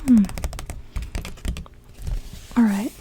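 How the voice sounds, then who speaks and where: A woman voicing a calm, mysterious sea-cove witch in an ASMR roleplay, speaking gently and slowly in a soft breathy whisper. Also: a little hiss or hum. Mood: hushed, concerned. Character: calm mysterious cove witch, part mermaid, potion brewer.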